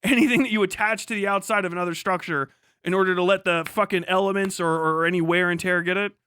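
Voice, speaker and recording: High-voiced, bright man on a rant. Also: steady tone, quick pace, a little hiss or hum. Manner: emphatic, repetitive, profane. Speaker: livestreamer. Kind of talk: casual off-the-cuff rant.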